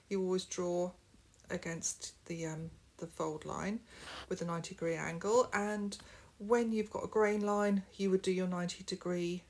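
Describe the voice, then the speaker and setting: A middle-aged woman, a bit sniffly from hay fever, chatting her way step by step through a home sewing tutorial, recorded on a camera mic. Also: mid-range voice. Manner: friendly, rambling, explains step by step. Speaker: sewing vlogger.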